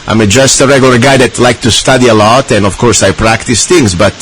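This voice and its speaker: A man's voice: mid-range voice, a little fast, fairly bright tone, a little hiss or hum, low-quality recording. Chatty male radio host. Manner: chatty, with frequent restarts and fillers. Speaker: radio talk show host. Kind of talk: casual radio interview.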